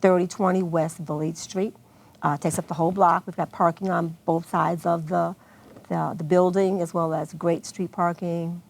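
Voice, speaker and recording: A woman with an American accent speaks in a notably low register. Her voice is very creaky and gravelly. She answers as an event organizer in an unscripted television interview. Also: little pitch movement, normal speed. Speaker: guest, arts festival organizer.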